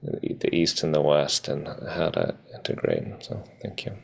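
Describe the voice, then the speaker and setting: A man with a gravelly, husky voice, sharing reflections informally in halting fragments on a video call. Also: rumbling low, huge pitch swings. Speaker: participant sharing at an online gathering.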